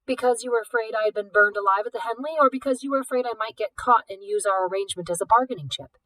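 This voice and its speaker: A woman's voice: on the high side, quick pace, fairly bright tone, recorded from a distance, lightly acted, poor audio. A woman voicing a world-weary teenage girl thief in a storybook, with a terse, challenging manner. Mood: challenging, flat.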